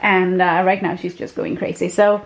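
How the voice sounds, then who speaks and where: A woman's voice: fairly low pitch, flat delivery, normal speed, poor audio. Chatty woman vlogging at home. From Sweden. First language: Swedish. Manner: chatty, laughs often, loose fillers. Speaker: family vlogger. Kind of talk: casual vlog chat. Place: family home, indoors. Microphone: handheld camera at arm's length.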